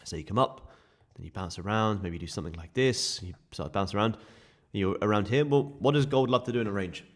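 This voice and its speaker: A man with a sore, croaky throat, casually walking viewers through live trading charts. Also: on the low side, brisk. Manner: casual, chatty explaining to viewers. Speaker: day trading streamer.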